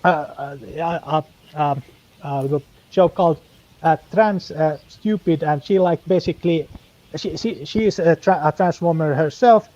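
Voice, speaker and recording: A man whose first language is Finnish chats as a guest on a stream. He speaks haltingly, filling the gaps as he hunts for words, in a slightly high voice. The sound comes through dull and lo-fi. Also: darkish tone. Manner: halting, full of fillers and restarts while searching for words. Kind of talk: casual live-stream chat.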